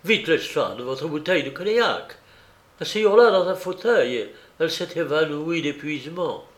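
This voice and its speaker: A man's voice: fairly high pitch, expressive, unhurried, a bit bright, roomy sound. A man voicing a commanding, cool-headed detective in an audiobook, with a clipped, formal manner. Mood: urgent.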